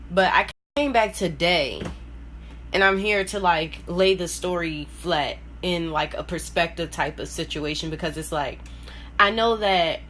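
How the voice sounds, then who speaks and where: A woman telling a personal story casually and candidly, blunt and profane, with lots of slang and animated acting-out of her reactions. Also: fairly low pitch, slight background noise. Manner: animated, acts out quoted reactions. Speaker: storyteller hosting own vlog.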